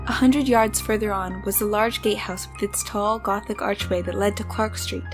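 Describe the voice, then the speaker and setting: An actress playing an earnest young woman of the 1870s in an audio drama, gentle and careful with formal wording. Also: mid-range voice, fairly even intonation, moderate pace, music in the background, natural voice. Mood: measured narration. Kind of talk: scripted audio drama with first-person narration.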